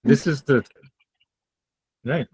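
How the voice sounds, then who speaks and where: A man's voice: mid-range voice, takes it slowly, darkish tone, husky, dry recording, clean studio sound. Emphatic male lecturer on a video call. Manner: emphatic, explanatory, repeats key points. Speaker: guest lecturer. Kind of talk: online lecture with questions.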